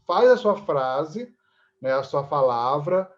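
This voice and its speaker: Higher-pitched Brazilian man, dull lo-fi audio. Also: lively intonation, slow. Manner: explanatory, repeatedly seeking agreement with tag questions. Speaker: interviewee, actor and theatre teacher. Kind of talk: conversational interview answers.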